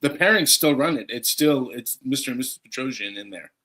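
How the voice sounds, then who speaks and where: A man's voice: medium pitch, lively intonation, brisk, bright, forceful tone, low-quality recording. Matter-of-fact man co-host. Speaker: co-host reading viewer comments. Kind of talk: casual livestream chat.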